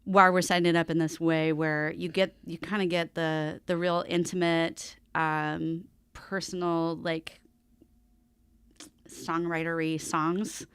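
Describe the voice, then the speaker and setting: A woman's voice: low voice, level tone, slow pace, fairly bright tone, clean tone, no echo. A woman musician chatting casually as a guest in a radio interview. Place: radio studio.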